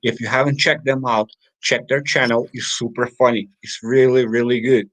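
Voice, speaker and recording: A man's voice: mid-range voice, level tone, normal speed, a bit bright, low-quality recording. A man co-hosting a casual live stream, chatting loosely and reading viewers' comments aloud with plenty of fillers.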